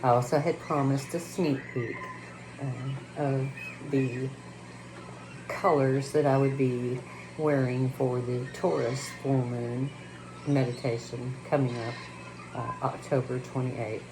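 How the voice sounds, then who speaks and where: A woman with a Texas accent speaking slowly and haltingly, with many fillers, first chatting about her channel and then reciting a prayer. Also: extremely low pitch, fairly even intonation. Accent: Texan. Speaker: spiritual channel host. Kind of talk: casual spiritual talk and spoken prayer. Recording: noticeable background noise.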